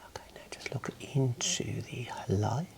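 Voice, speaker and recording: A man in his thirties whispering softly and breathily in a calm, gentle ASMR roleplay as an examiner giving instructions, close to the mic in a small room. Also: medium pitch, measured pace, creaky. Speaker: ASMR host playing an eye examiner.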